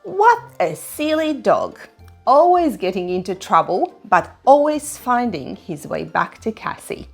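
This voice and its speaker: A woman reading a picture story aloud to young children, dramatic and expressive. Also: mid-range voice, unhurried, gravelly, husky. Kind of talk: animated read-aloud narration for young children. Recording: music behind the voice. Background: music.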